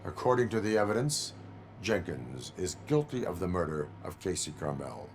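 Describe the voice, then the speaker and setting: A man playing a stoic cyborg police officer in a video game, speaking in a clipped, formal, deadpan way. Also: low-pitched, moderate pace, slight background noise. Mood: stern, impassive. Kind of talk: video game cutscene acting.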